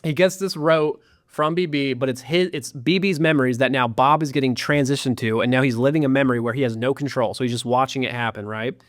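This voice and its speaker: A man recounting a story in casual podcast talk, animated, with sarcastic asides. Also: mid-range voice, fast, hardly any pauses, no echo, clean studio sound. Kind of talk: casual chat.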